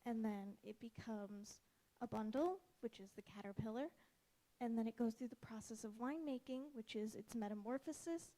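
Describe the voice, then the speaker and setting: A woman's voice: a little high, normal speed, dark tone, low-quality recording. A young woman presenting her student design and answering questions in an earnest, accommodating way.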